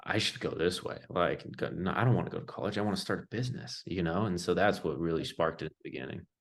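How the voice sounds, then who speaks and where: A man's voice: low-pitched, quick pace, vocal fry. A young man, telling his own story casually as an interview guest, in loose, informal phrasing. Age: young adult. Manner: informal, loose phrasing peppered with "like" and "you know".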